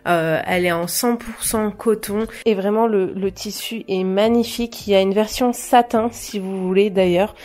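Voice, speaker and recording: A woman's voice: medium pitch, steady tone, normal speed, few pauses, darkish tone. A young woman chatting casually to camera about clothes she bought, hesitant, with many fillers and small laughs. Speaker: fashion vlogger. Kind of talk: casual haul chat to camera.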